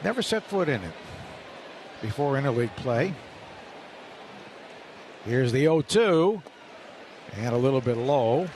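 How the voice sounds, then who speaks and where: Radio baseball announcer with crowd noise. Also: male voice, very animated, a little mellow. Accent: American. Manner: measured, rises in pitch on big plays. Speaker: play-by-play announcer.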